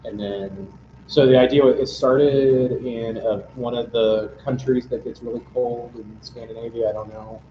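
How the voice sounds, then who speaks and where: A man's voice: medium pitch, steady tone, normal speed. A man leading a casual group chat, rambling and filling his talk with "like". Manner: rambling, heavy on "like". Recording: echoey room, muffled, faint noise.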